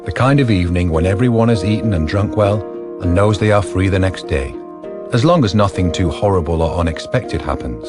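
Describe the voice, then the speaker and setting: A man's voice: low-pitched, lively intonation, fairly quick, over music. A man narrating scripted story passages for a radio drama.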